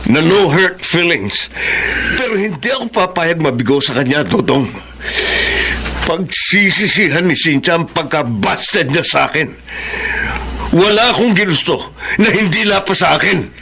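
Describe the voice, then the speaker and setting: Matinding among kontrabida, pabago-bago ang tono. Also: male voice, fairly high pitch, animated, unhurried, sharp tone, slight background noise, exaggerated voice. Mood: menacing. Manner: emphatic, menacing, given to outbursts. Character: obsessive, vengeful spurned male boss, scheming and possessive. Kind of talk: Filipino radio drama acting.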